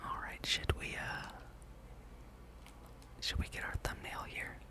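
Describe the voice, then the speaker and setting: A person whispering a relaxed ASMR gameplay commentary, breathy and rambling, with dry, wry asides. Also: slow pace. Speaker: host of a gaming ASMR channel.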